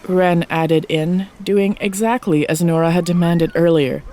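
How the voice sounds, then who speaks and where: A woman's voice: on the low side, steady tone, a little slow, dark tone. A woman giving a scripted narration of a fiction story as its narrator, close to the microphone.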